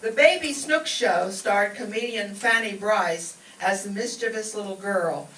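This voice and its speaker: A woman's voice: mid-range voice, unhurried. A woman reading a scripted introduction as master of ceremonies. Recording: echoey hall, distant, noisy.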